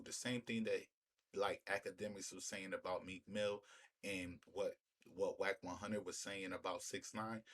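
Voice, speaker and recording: A man giving opinionated street-talk commentary in an emphatic, repetitive way, often using rhetorical questions. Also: low-pitched. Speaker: commentator hosting a reaction video.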